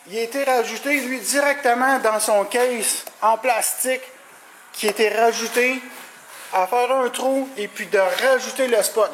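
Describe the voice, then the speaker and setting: A man's voice: sits high, a bit bright, slight background noise. A man with a Quebec French accent giving a casual, colloquial hands-on product walkthrough as an installer. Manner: informal, colloquial, hands-on explaining. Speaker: CB radio installer presenting his work.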